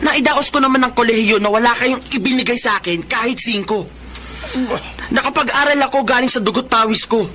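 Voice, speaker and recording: High-pitched, earnest young Filipino son. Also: male voice, brisk, bright, forceful tone, slight background noise. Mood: hurt, reproachful. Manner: emphatic, emotional delivery. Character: earnest, hurt young adult son training as policeman. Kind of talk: Filipino radio drama acting.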